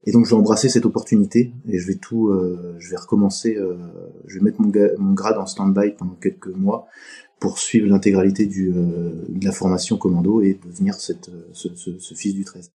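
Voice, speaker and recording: Very dark-voiced halting man. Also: fairly low pitch. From France, Atlantic coast. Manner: halting and reflective, pauses often mid-sentence. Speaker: podcast guest. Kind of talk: casual interview talk.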